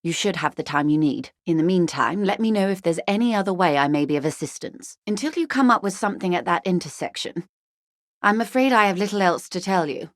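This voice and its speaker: A woman's voice: lowish voice, lively intonation, a little fast, no echo, clean studio sound, lightly acted. A woman playing a poised, wealthy, shrewd corporate negotiator in a video game, speaking formally and with polite, guarded care. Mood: measured, courteous. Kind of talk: video game character dialogue.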